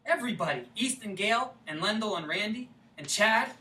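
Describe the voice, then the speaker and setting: A woman's voice: medium pitch, animated, normal speed, pushed and bright. A woman acting a persistent, teasing small-town suitor in a staged play reading, insistent, folksy and casual. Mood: placating, pleading. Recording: roomy, distant microphone, faint noise.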